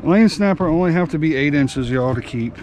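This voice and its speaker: A man's voice: a little high, animated, brisk, darkish tone. A man, hosting a fishing vlog from his boat and chatting casually to viewers. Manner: folksy and upbeat, addressing viewers as y'all. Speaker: fishing channel host and charter captain. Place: open boat on the water outdoors. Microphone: action camera on the boat. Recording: outdoor boat recording with engine and water noise.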